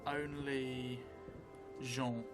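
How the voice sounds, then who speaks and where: Halting middle-aged man over music. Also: mid-range voice, level tone. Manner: halting, pausing between phrases while writing. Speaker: solo tabletop role-play streamer. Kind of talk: unscripted game narration, thinking aloud. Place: indoor room, seated at a table.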